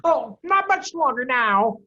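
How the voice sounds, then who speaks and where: Man doing a loud, high-voiced smug farmer. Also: very animated, measured pace, exaggerated voice. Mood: impatient, smug. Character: smug, hostile farmer in a children's story. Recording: dull, lo-fi video-call audio.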